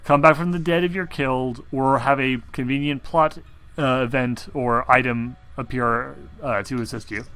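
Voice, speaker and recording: A man's voice: medium pitch, fairly even intonation, normal speed, sharp tone, smooth. A man acting as game master, narrating a tabletop roleplaying game in an informal, joking way with some swearing.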